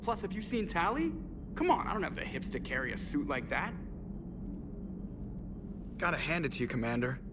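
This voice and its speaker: A wisecracking male pilot with a lightly high voice and a sardonic, conversational delivery. Game dialogue, with clear ambient noise behind him. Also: big pitch swings, normal speed, pauses often, distant mic. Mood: wry, upbeat. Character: wisecracking, cocky male starship pilot, easygoing. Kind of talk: scripted video game acting.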